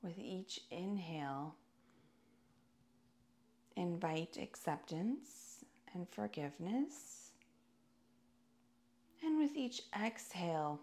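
A woman teaching yoga, leading a guided meditation in a calm, soothing, deliberate way with pauses between phrases. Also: lowish voice.

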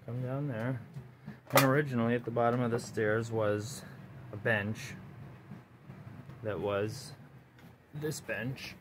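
A man's voice: medium pitch, fairly even intonation, slow, pauses often, background noise. A man giving a loose, profane, rambling tour of his cluttered basement workshop, filming with a handheld phone. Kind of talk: casual rambling walkthrough. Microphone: handheld phone camera, speaker off-screen.

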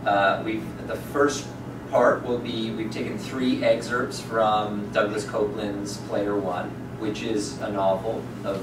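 A man's voice: on the low side, little pitch movement, takes it slowly. Echoey, distant man talking casually to an audience. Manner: informal, loosely phrased. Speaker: theatre director introducing a reading. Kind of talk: casual talk to a live audience. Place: small indoor event room, speaking from stage. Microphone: far camera. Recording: distant, echoey, noisy room recording.

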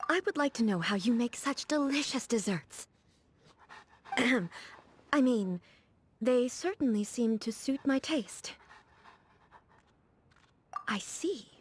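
An actress voicing a serene, dignified female warrior ruler in a video game, speaking formally and with measured composure. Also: a little high, lively intonation, a little hiss or hum. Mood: earnest, thoughtful. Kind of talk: scripted video game character dialogue.

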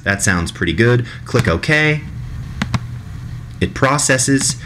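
A man in his thirties narrating a software tutorial in a conversational, demonstrative way, recorded indoors in a small room. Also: medium pitch, slow pace, over music. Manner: animated, demonstrative, mimics sounds vocally.

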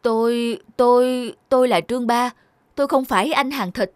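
An actor playing a humble, middle-aged village chess player in a cartoon, respectful and earnest. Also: male voice, extremely high pitch, normal speed, clean tone, no echo. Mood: flustered. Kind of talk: cartoon voice acting.

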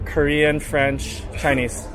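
A man's voice: on the high side, little pitch movement, slow, over music, low-quality recording. A Canadian man in his thirties, vlogging casually while walking through city streets and bars at night, easygoing and upbeat, with handheld camera sound. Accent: Canadian English. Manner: chatty, easygoing, upbeat narration with fillers. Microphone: handheld camera at arm's length.

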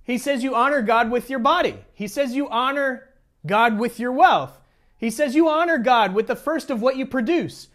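A man's voice: very high-pitched, moderate pace, fairly bright tone. An American man preaching as a pastor in a conversational teaching style, informal, repeating phrases for emphasis and slipping in joking asides. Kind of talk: sermon, teaching a congregation conversationally.

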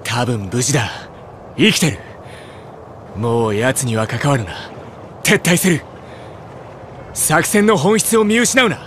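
An actor playing a cool-headed, unsentimental soldier captain in an anime game, speaking curtly and with command. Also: male voice, medium pitch, lively intonation, takes it slowly, pauses often, fairly bright tone, slight background noise. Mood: cool, stern. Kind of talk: Japanese anime game voice acting.

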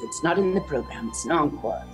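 A middle-aged woman from Canada with a notably low voice speaks with earnest passion over a video call, as a guest in a news interview. Faint music sits beneath her words. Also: expressive, brisk. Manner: earnest and impassioned. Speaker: orchestra conductor. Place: indoor room at home.

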